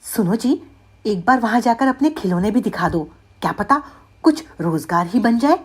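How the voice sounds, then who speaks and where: A woman voicing a caring, practical village wife in an animated moral story, emphatic and coaxing. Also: a little high, moderate pace, husky. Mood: coaxing, insistent.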